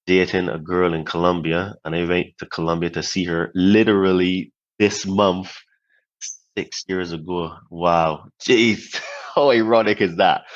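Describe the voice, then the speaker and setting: A man's voice: low voice, fairly bright tone, dull audio. A middle-aged man from Barbados, a podcast guest telling his story in short reflective phrases, with many "you know"s.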